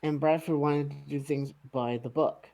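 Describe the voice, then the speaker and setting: A man's voice: a little high, fairly even intonation, moderate pace, mellow. A man in casual fan chat as a podcast panelist.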